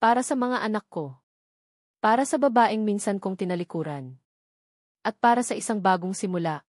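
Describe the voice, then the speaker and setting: A woman's voice: medium pitch, normal speed, darkish tone, no room sound, lightly acted. Bitter grown son in a story drama, read by a woman, Filipino accent, firm, accusing, dry sound. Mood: determined. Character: bitter, determined adult son confronting his tycoon father.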